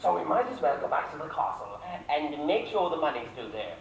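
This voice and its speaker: A woman's voice: sits low, very animated, brisk, creaky, crowd noise, full character voice. A woman playing a breezy, scheming pantomime character in an exaggerated theatrical manner. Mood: scheming, dismissive. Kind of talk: broad stage pantomime acting. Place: theatre stage. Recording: distant echoey theatre, music, audience noise.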